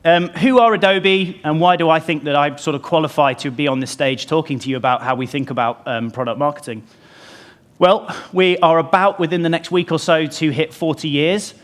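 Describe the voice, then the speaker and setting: A man's voice: fairly high pitch, a little fast. Man giving a conference talk, um-filled. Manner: conversational, many ums and restarts. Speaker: conference speaker. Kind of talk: conference presentation. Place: conference stage before an audience. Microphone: lapel mic pack.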